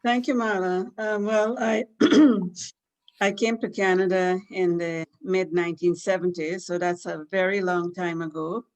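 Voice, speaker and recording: Low-voiced older woman. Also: steady tone, unhurried, a little mellow. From Guyana. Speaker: guest. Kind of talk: measured interview answers. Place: small room indoors. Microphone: video call.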